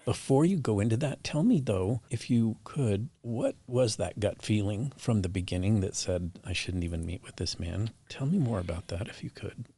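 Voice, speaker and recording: A man's voice: fairly low pitch, expressive, moderate pace, hardly any pauses, mellow, husky. A man hosting an interview in a conversational style, speaking earnestly with empathy and affirmation. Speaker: podcast interview host.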